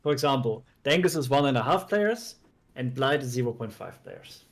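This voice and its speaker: A man's voice: mid-range voice, animated, normal speed. A man chatting casually as a co-commentator on a livestream. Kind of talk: casual chat.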